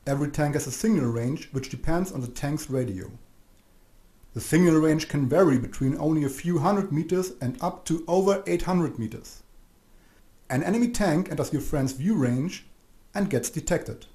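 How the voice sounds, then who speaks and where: A man reading a scripted explanatory narration for an instructional video, measured and didactic, addressing the listener directly. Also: on the high side, mellow. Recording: roomy, echoing voice-over.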